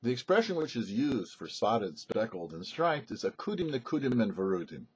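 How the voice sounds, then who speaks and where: Male rabbi for a short Torah teaching: rough-edged husky voice, widely varied intonation, deliberate and explanatory. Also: mid-range voice, very animated. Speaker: rabbi presenting a weekly Torah portion show. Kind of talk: religious teaching, scripted-sounding Torah commentary.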